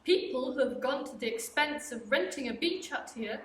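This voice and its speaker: Snobbish beach-hut mother, haughty and sharp, played by a young actress; echoey distant recording. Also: sits high, lively intonation, moderate pace. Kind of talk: amateur radio play acting. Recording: roomy echo, distant mic, faint hiss.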